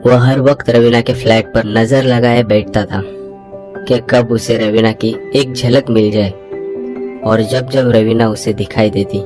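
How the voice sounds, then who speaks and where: Male storyteller over loud music. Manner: dramatic storyteller, voices the hero's lines higher. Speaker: narrator. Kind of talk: scripted story narration. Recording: loud music bed under narration.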